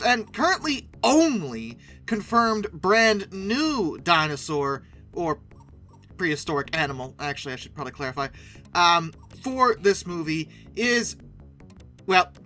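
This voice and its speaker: Bright, animated, sardonic video commentator. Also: medium pitch. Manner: sarcastic, emphatic. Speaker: video host. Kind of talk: casual scripted-style video commentary.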